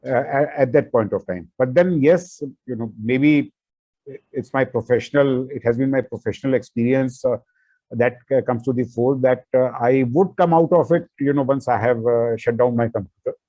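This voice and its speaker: A man's voice: mid-range voice, moderate pace, warm tone, no room sound, muffled recording. A man whose first language is not English, an author answering interview questions with many fillers and restarts.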